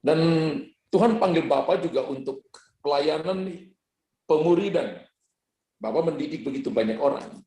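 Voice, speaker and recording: A man, a pastor from Ambon, speaking over a video call in earnest, declaring prayer ministry that slows on key words. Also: a little high, dark tone, echoey room, rough recording. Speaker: guest pastor.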